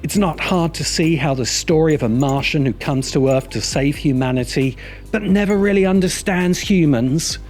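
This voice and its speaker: A man's voice: a little high, normal speed, a little mellow. A man reading a scripted video essay narration in a sardonic, opinionated manner. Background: music behind the voice.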